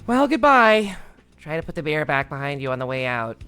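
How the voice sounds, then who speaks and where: A man reads as a calm young woman who answers her sister's dramatics with dry understatement. The voice sits low and quiet over faint music. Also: a little fast. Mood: deadpan.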